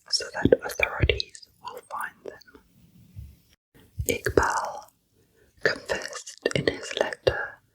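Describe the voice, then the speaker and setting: A woman whispering a slow true crime story as an ASMR narrator. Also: poor audio. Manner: slow, soft unvoiced whisper throughout.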